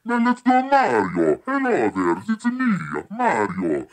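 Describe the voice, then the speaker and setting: A man doing cartoon impressions as a hulking, gravelly giant, altered by a giant voice-changer filter. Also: high voice, wildly swooping pitch, slow, soft background music, voice pushed up, exaggerated voice. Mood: booming, theatrical.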